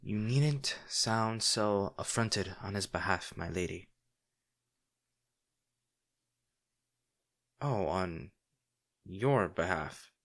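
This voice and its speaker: A man playing a devoted, remorseful bodyguard to a noble lady in a fantasy audio drama, speaking in a formal, halting, earnest way. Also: deep voice, a bit bright. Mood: restrained, wry.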